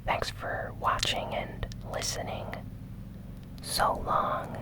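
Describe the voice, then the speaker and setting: A host whispering a gaming news recap in ASMR style, softly and without hurry, close to the microphone. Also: poor audio. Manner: soft, unhurried whispering.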